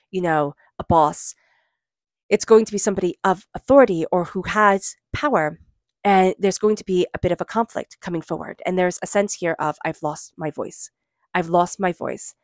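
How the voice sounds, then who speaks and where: A woman giving a gentle, reflective tarot reading, talking casually with many fillers. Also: on the low side, no echo, studio quality. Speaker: tarot reader.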